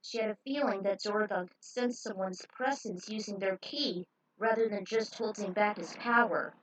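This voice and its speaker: A woman's voice: mid-range voice, normal speed, poor audio. A woman narrating action fan fiction aloud as a dramatic story reading.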